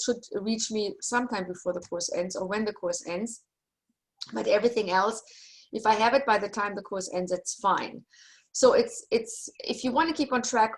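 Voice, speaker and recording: A middle-aged woman with a German accent, a native German speaker, giving a relaxed, reassuring orientation for her online course over a video call. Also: mid-range voice, low-quality recording. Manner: relaxed, reassuring, chatty with small restarts. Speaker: university course instructor.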